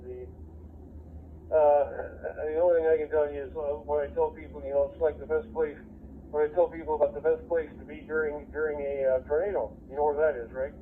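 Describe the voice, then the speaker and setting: A man's voice: a little high, flat delivery, fairly quick. An older, retired man with an American accent, chatting casually over two-way amateur radio in a rambling way, restarting and repeating his phrases. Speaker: retired police dispatcher, amateur radio operator. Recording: narrow, phone-like radio link with static noise.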